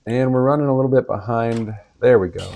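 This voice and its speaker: A man with a raspy voice from being sick, giving low-key commentary on his gameplay. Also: a little fast, a little hiss or hum. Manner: relaxed, low-key play-by-play with occasional coughs. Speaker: gaming video host. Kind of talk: casual game commentary.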